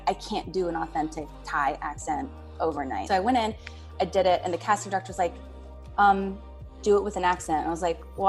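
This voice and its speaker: A woman talking casually as a guest on a panel, telling anecdotes in a conversational way and quoting dialogue as she goes. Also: on the low side. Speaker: talk-show guest, stage actress.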